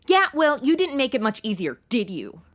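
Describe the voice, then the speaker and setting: A woman's voice: sits high, big pitch swings, normal speed. A woman playing a sassy modern young woman in a comedy audio drama, slangy and flippant. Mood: indignant.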